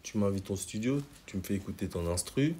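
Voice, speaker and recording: A man's voice: low voice, expressive, fairly quick, warm tone. A man from Congo, a rapper guest, talking in a laid-back, slangy way and often saying "you see". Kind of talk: casual interview chat.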